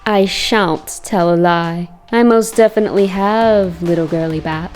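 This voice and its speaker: A woman voicing a sly female mesmerist villain who taunts with a slow delivery in a comic dub. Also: on the low side, loud music behind the voice, close to an everyday voice. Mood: gloating, taunting.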